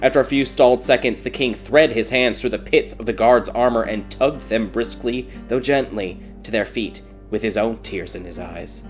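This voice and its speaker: A man's voice: mid-range voice, moderate pace, hardly any pauses, soft background music. A man reading a scripted fairy tale as a dramatic audiobook narrator.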